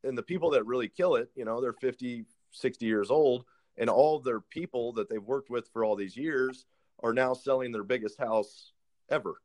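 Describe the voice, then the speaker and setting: A man's voice: mid-range voice, moderate pace, a little mellow. A man in his thirties who works as a realtor, talking casually and earnestly, sharing advice and laughing at himself now and then. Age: 30s. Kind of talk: casual podcast conversation.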